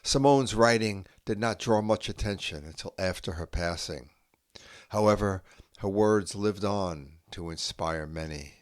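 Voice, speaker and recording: Slow, solemn low-voiced man reading. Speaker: reader and host.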